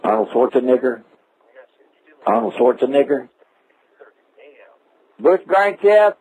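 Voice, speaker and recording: A middle-aged man who runs a roofing business, trading crude, mocking insults in a slow drawl over a tinny phone line. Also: medium pitch, big pitch swings, gravelly, a little mellow, slight background noise. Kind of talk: comic phone-call banter.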